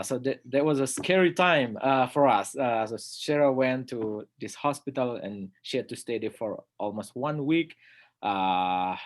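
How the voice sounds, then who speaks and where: A man in his thirties from North Sumatra with an Indonesian accent, giving a relaxed slide presentation as a pastor, pausing often on "uh" and laughing softly. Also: fairly low pitch. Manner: hesitant, many "uh" fillers, soft laughs. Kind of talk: informal lecture with slides.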